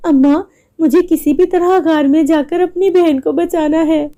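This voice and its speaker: Lady doing sweet brave big sister in a cartoon tale, young, earnest, really high voice. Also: steady tone, a little slow, dark tone. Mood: determined. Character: good-hearted, worried elder sister, brave young woman.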